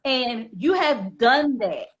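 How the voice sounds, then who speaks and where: A woman's voice: fairly high pitch, lively intonation, slow, lo-fi sound. A young woman from Philadelphia, a singer hosting an interview, talking emphatically and often repeating words as she builds a point. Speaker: host and singer. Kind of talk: casual interview chat.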